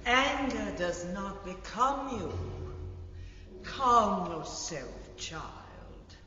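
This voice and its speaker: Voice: mid-range voice, lively intonation, extremely slow, sharp tone, heavily acted. An actor playing a composed, grave guild elder, formal and admonishing, in a fantasy audio drama. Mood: calm reproof. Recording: echoey hall, dull tape, faint noise.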